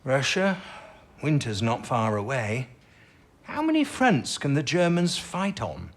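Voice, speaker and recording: An actor with a British accent playing a stubborn, ailing wartime codebreaker in a radio drama, husky, terse and blunt. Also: male voice, mid-range voice, wildly swooping pitch, takes it slowly, heavily acted. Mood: insistent, probing.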